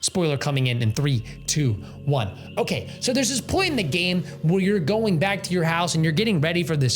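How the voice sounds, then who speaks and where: A young man reviews a video game in a loose, slangy, rambling style. His pitch swings around freely for playful drama, with faint music underneath. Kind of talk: casual video essay commentary on a game.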